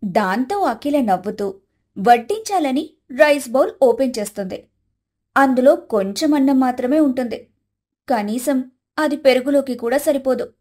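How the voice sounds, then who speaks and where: Woman narrator for a cartoon moral story, high voice, reading a script. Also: animated, moderate pace, long pauses, darkish tone.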